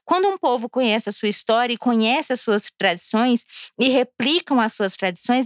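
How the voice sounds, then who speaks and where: Uma mulher de Brasília falando de forma casual e cheia de rodeios num vlog, um pouco alegre depois de beber. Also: female voice, on the high side, no echo, studio quality. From Brasília, Brazil. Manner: chatty, digressive, with frequent fillers and restarts. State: a little tipsy from drinking. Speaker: vlogger.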